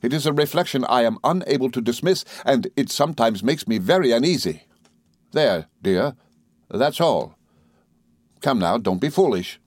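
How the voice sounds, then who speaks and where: A male reader acts a young husband from a classic novel. He is earnest and patient, reasoning in formal, measured phrases with gentle reproof and some exasperation. The voice is mid-pitched and closely recorded. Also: animated, no echo, studio quality, natural voice. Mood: gently reasoning. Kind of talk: dramatised classic novel reading.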